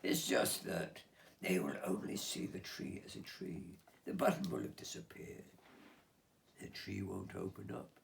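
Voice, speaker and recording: A man voicing a gentle, grand female fairy who speaks softly and kindly. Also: low-pitched, measured pace, creaky, slight background noise, heavily acted. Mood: soothing.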